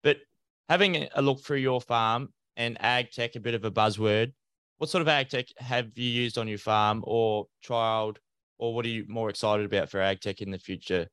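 A man who hosts a farming podcast, asking questions in a relaxed conversational way. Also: medium pitch, normal speed, clean tone, dry recording. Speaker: podcast host and farmer. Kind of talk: casual interview hosting.

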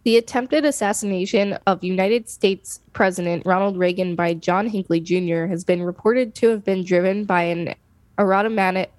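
A woman's voice: medium pitch, steady tone, normal speed, a little mellow, no room sound. A woman co-hosting a podcast, reading an article aloud steadily, now and then tripping over names. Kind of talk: casual read-aloud.

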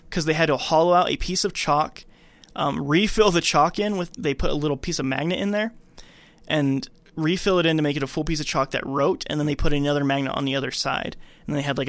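A man hosting a podcast, retelling a movie scene by scene in casual, slangy, animated speech. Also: a little high, vocal fry, no room sound. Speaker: podcast host.

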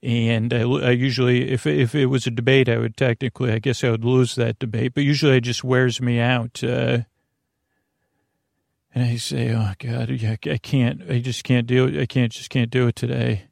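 Creaky-voiced man, rambling and soothing. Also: medium pitch, little pitch movement, normal speed, a little mellow, no room sound, clean studio sound. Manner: meandering, stammering, tangent-prone, self-questioning; soothing, lulling, drowsy delivery. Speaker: podcast host. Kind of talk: rambling bedtime podcast monologue.

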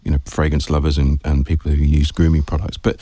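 A man's voice: rumbling low, flat delivery, quick pace, warm tone, husky, no room sound. A man hosting an interview podcast, asking friendly questions with lots of fillers. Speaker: podcast host. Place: guest's office.

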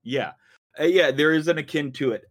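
A man's voice: a little high, normal speed. Easygoing rambling male podcast host. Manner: easygoing, rambling, lots of fillers. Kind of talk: casual podcast chat.

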